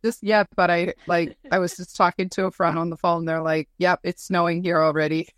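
Female interview host, lower register, effusive and conversational, very dry close sound. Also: level tone, brisk, no echo. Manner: effusive, affirming. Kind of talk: casual, conversational interview hosting.

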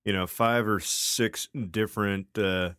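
A man's voice: fairly low pitch, fairly even intonation, measured pace, fairly bright tone, clean tone, no echo, clean studio sound. A man co-hosting a podcast, chatting casually with lots of fillers and laughing asides, then telling a spooky story. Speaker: podcast co-host.

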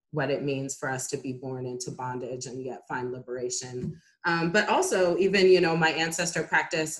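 A woman with a notably low voice speaks on a panel discussion. She is reflective and measured, and fills her pauses often with "um". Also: a bit bright, low-quality recording.

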